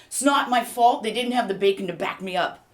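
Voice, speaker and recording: A woman's voice: mid-range voice, brisk, natural voice. A woman playing a jealous big bad wolf actor who complains sardonically in a comedy mock interview. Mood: bitter, sardonic.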